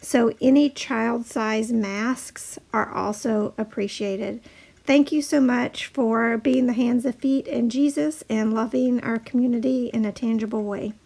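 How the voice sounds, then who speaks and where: Female church member, casual friendly announcement, highish pitch, very creaky. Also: fairly even intonation, measured pace, a little mellow, rough recording. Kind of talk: casual spoken appeal.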